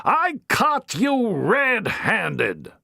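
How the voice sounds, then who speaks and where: Reader voicing a furious pompous matron, shrill, dramatic, drawn-out words, very dry sound. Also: female voice, sing-song, fairly bright tone, dry recording, heavily acted. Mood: indignant, grand. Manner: haughty, theatrical, slow declamation.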